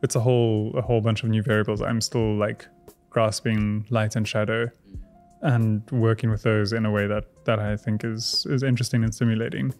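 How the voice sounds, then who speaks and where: A young South African man speaks with a gravelly, creaky edge and a lowish pitch. He talks in a measured, thoughtful way in a relaxed chat, with faint music underneath. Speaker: artist and channel host. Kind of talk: casual chat.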